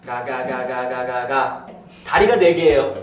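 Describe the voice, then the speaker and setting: A young man, a guest on a game show, shouting out guesses loudly and laughing as he plays. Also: mid-range voice, measured pace, crowd noise. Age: young adult. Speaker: guest singer. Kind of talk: excited group chat. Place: bright studio, indoors. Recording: echoey room, distant mic, music under.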